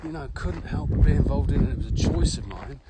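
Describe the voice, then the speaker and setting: A man's voice: on the high side, animated, quick pace. A middle-aged man co-hosting a casual podcast, opinionated and fond of rhetorical questions. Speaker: podcast co-host and management adviser. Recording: clearly noisy background with clicks.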